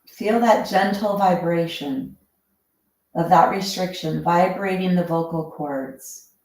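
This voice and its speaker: A woman instructor leading a guided breathing meditation in a calm, soothing, measured way, leaving long pauses. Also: fairly low pitch. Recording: very echoey, reverberant room.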